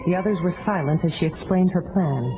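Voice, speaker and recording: A male narrator reads a superhero storybook dramatically in a high voice. The recording is dull and lo-fi, with loud music behind him. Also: fast.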